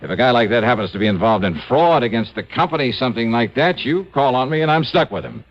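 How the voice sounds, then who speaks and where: A man's voice: medium pitch, expressive, fast, close to an everyday voice. An actor playing a wry, hard-boiled male insurance investigator who narrates his case in a 1950s radio drama, with a dry, clipped, sardonic delivery. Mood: firm refusal. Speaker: narrator and lead. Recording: dull vintage radio broadcast with music.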